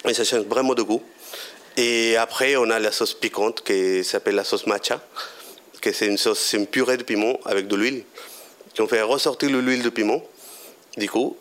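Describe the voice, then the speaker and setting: A man from Mexico, a native Spanish speaker with a Mexican accent, casually explaining cooking with halting phrasing. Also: fairly low pitch, fairly even intonation, a bit bright. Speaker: chef guest.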